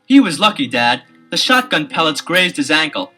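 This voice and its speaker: Teen boy sleuth, elder brother, earnest and urgent, very animated, musical underscore of an old LP drama. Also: fairly high pitch, over music. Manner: urgent, deliberate theatrical delivery. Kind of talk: 1970s audio adventure acting.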